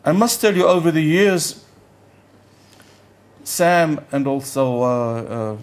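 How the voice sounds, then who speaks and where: Halting older male professor lecturing. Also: a little high, expressive. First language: Yiddish. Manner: halting, searches for words, repeats "I, I". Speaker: economics professor giving a lecture. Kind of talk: conference lecture.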